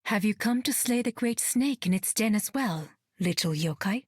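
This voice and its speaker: A woman's voice: mid-range voice, expressive, normal speed, slightly rough, no room sound, studio quality. An actress playing a cool, precise woman warrior in a game.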